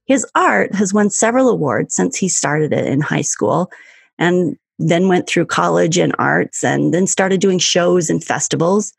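A woman's voice: on the low side, lively intonation, unhurried, gravelly, husky, no room sound, clean studio sound. A woman hosting a podcast, reading an upbeat introduction and then interviewing her guest with enthusiasm. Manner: enthusiastic, warm praise with laughter. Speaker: podcast host.

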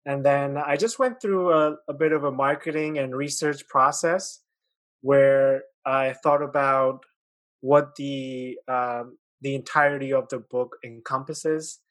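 Podcast guest, man, late 20s, grew up in Pakistan; friendly, halting, dry sound. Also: medium pitch, steady tone, a bit bright, no echo. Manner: friendly, hesitant, many fillers and restarts. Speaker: guest, author and podcast host. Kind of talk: casual interview chat.

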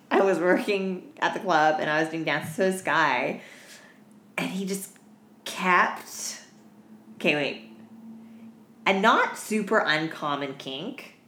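A woman podcast co-host telling stories in casual, crude slang with an animated, giggly manner. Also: on the low side, takes it slowly, long pauses. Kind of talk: casual chat, storytelling. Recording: roomy, echoey room.

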